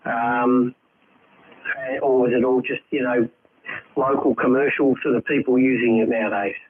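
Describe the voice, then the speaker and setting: A man's voice: medium pitch, fairly even intonation, moderate pace, breathy edge. A man chatting casually over ham radio, musing and thinking aloud as a fellow hobbyist. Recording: tinny band-limited radio link, background chatter.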